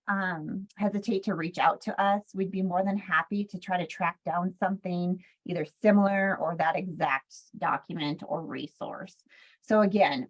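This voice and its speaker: Dull-sounding recording of a lowish-voiced woman presenting. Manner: helpful, conversational, hesitant phrasing with frequent self-corrections. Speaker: webinar presenter for a health care quality improvement organization. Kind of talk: informal slide presentation. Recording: dull, lo-fi webinar audio.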